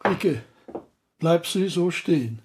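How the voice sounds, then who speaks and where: An actor playing a blunt medical examiner in a period radio drama, terse, clinical and wry. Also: male voice, on the high side, lively intonation, takes it slowly, darkish tone, a little hiss or hum. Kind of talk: German radio drama acting in period speech.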